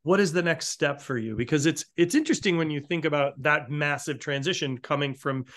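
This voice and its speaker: A man's voice: fairly high pitch, a little fast, a bit bright. A man from the northeastern United States interviewing a chef, asking long, enthusiastic questions with frequent restarts. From Newport, Rhode Island area of the US. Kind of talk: conversational interview.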